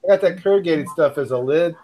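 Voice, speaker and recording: A man with a West Coast accent and a slightly raised voice talks in a loose, rambling way, stumbling over words and circling back. He sounds chatty and relaxed, like someone hosting a casual group call. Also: on the high side, fast, slight background noise. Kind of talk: casual chat.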